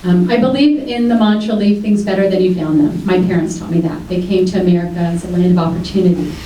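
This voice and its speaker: A woman whose first language was Greek gives a personal opening statement at a local debate, using plenty of filler sounds. Her voice is mellow and dark-toned. She sounds far from the mic in an echoey room. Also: fairly low pitch, fast talker, a little hiss or hum. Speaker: city commission candidate.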